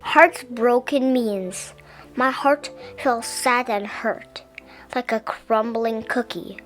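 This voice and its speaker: A woman reading a children's picture book aloud in a gentle, deliberate, expressive way. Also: a little high, soft background music. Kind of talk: children's storybook read-aloud.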